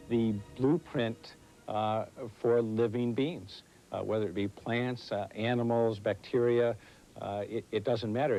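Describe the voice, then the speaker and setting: A man, a research scientist, explaining things in an unscripted interview, deliberately stressing key words. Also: lowish voice, mellow, poor audio. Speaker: scientist, research team leader. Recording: 1980s TV interview, faint music.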